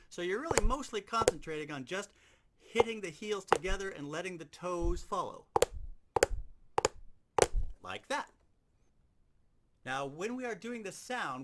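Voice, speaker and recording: A man's voice: high-pitched, background noise. A man giving an instructional demonstration as a sound effects teacher, explaining things step by step and repeating key points.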